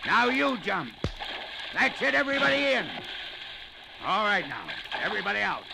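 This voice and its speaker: A man playing a brutal, domineering boss of log drivers, barking orders with a gravelly voice in a 1950s radio drama. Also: high-pitched, very animated, moderate pace, background noise, heavily acted. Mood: harsh, commanding.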